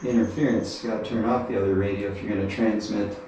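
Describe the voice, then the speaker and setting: A man's voice: lowish voice, steady tone, fast talker, warm tone. A man narrating a casual radio field test in a plain, matter-of-fact way, recorded inside a small metal tower. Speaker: reviewer testing walkie-talkie radios. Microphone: action camera. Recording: roomy echo, distant mic, faint noise.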